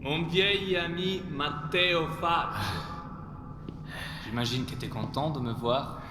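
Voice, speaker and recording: An actor playing a smug, calmly menacing terrorist boss in an audio drama, taunting with mock friendliness. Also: male voice, a little high, slow. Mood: smug menace. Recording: long hall reverb, clear noise.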